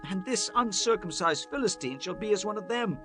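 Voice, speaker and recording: Man playing a high-voiced, fearless shepherd youth. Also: animated, fairly quick, fairly bright tone, soft background music. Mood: bold, confident. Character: bold young shepherd lad, earnest and fearless.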